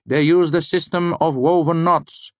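Man playing an abrupt, high-pitched eccentric lecturer. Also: steady tone, unhurried, no room sound, natural voice. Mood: dismissive. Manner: abrupt, dismissive, theatrical. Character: eccentric, imperious male university lecturer, easily bored. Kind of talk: audiobook character dialogue.

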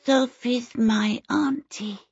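A woman voicing a coy little boy in a storybook, with a sweet, soft lisp and an earnest, wheedling way. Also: a little high, gravelly, breathy edge, no room sound, lo-fi sound, pitched above the performer's own voice, heavily acted. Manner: coy, drawn-out, devout politeness.

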